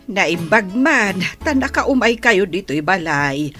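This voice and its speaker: A woman playing a fussing, chiding old grandmother with drawn-out phrasing in an Ilocano radio drama. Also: medium pitch, very animated, a bit bright, faint music behind. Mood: explaining, concerned.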